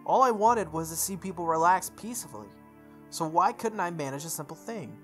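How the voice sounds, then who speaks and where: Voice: mid-range voice, lively intonation, a little fast. A person reading visual novel text aloud for a playthrough, stumbling over words and repeating phrases, with offhand asides. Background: music.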